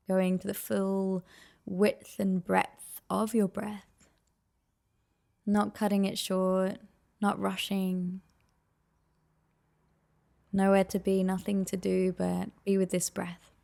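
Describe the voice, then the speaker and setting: A woman leading a guided meditation with soft, soothing, unhurried and gently encouraging instruction. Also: medium pitch, level tone, gravelly.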